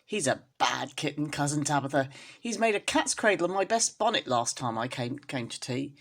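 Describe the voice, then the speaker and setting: Woman playing a bossy, husky neighbour cat. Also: deep voice, expressive. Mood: scolding. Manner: scolding, brisk. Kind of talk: children's storybook character reading.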